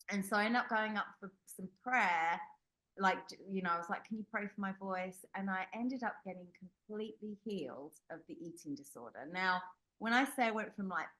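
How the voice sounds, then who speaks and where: A woman's voice: mid-range voice, a bit bright, poor audio. A young adult woman from the United Kingdom, a guest telling a personal story in an interview, candid and rambling with many fillers and restarts. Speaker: podcast guest.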